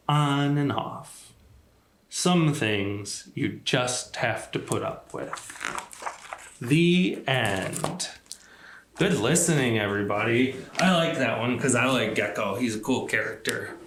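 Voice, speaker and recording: Male children's storyteller, unhurried and expressive, lively pitch swings, simple phrasing, echoey room. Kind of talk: storybook narration and talk to children.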